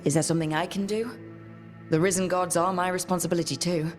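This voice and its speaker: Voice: medium pitch, steady tone, fast talker, lightly acted. An actor as a young fantasy game hero with a mid-range voice. The delivery is direct, determined and helpful, over faint music. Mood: resolute. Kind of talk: dramatic video game acting.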